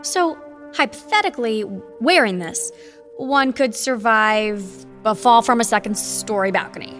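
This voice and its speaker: A woman's voice: a little high, unhurried, fairly bright tone, soft background music. A woman playing a bold, curious young newcomer in an audio drama, inquisitive and drily sardonic. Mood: dry, guarded. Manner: inquisitive, dry, challenging. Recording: reverberant hall-like sound.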